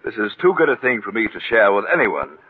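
An actor playing a smug, falsely genial middle-aged blackmailer in 1940s radio drama, with a suave, condescending delivery. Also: male voice, fairly low pitch, sing-song, fast, slightly rough. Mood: smug, mocking. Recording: tinny, hissy old radio recording.

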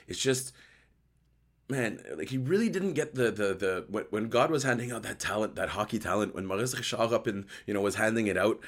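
A man with a hoarse voice hosting a casual live sports chat show, joking and thinking aloud as he answers viewers. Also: fairly low pitch, animated, fairly quick. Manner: joking, conversational, thinking aloud with repeated words. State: hoarse voice after a night outdoors. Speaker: host of a hockey post-game recap show.

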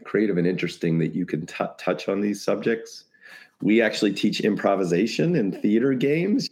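Very deep-voiced man chatting casually. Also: a little mellow. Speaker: podcast guest, creative compliance consultant. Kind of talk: casual interview talk, brainstorming ideas.

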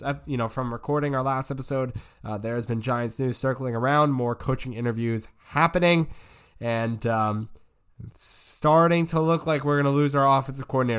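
A young man with a stuffed-up nose from a cold hosts a casual sports podcast. His voice stays smooth and resonant despite the congestion, and he rambles along with plenty of ums and you-knows. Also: mid-range voice, a bit bright. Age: young adult. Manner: rambling, filler-heavy. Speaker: podcast host.

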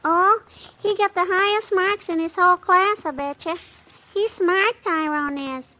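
A woman's voice: very high voice, measured pace, pushed and bright, heavily acted. An actress playing an eager, cheeky little neighbour girl in a vintage radio comedy. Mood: proud. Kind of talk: 1950s radio comedy acting.

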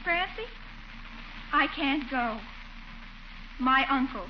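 Very high-pitched, dramatic teenage girl. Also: expressive, slow pace. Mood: despairing. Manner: earnest, plaintive, dramatic. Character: earnest, dramatic, pleading teenage niece. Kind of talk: 1940s radio comedy acting. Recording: noisy, dull old radio recording.

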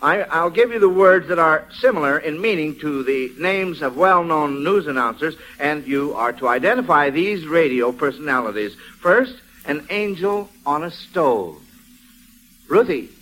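A male radio host with a notably high voice, speaking on an old 1940s broadcast. He leads a panel of children in an encouraging way, prompting each one in turn. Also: animated, moderate pace, a bit bright, poor audio. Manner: encouraging, chuckling remarks to children. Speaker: quiz show host.

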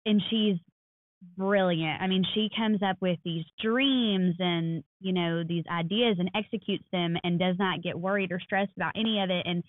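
A woman in her thirties co-hosting a podcast, telling stories in a quick, animated way. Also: lowish voice, no room sound. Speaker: podcast co-host. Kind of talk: casual chat. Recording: dry, phone-like lo-fi sound.

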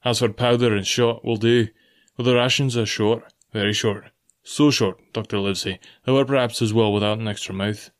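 A man voicing a stern, gruff older sea captain with clipped nautical speech. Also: fairly low pitch, steady tone, normal speed, natural voice. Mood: grim.

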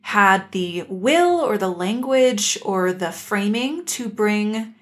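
A woman's voice: medium pitch, animated, slow pace, a bit bright. A woman co-hosting a podcast, speaking in an earnest, emphatic way in a casual analytical discussion. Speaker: podcast co-host.

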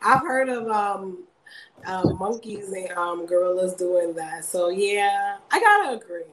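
Casual female guest, slow and giggly, lots of fillers, lively pitch, faint background hum. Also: poor audio. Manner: giggly, hesitant, many fillers. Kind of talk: casual interview chat.

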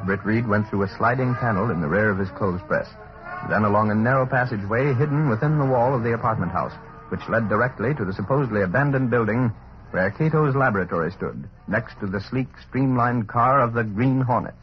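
A male narrator in 1940s radio style. His mid-pitched voice reads the script over faint music, on a dull vintage recording. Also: a little fast.

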